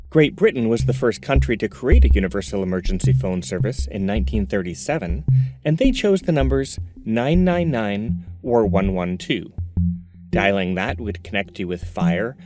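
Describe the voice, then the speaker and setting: An American man reading scripted, documentary-style narration for a crime audio drama. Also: mid-range voice. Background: music.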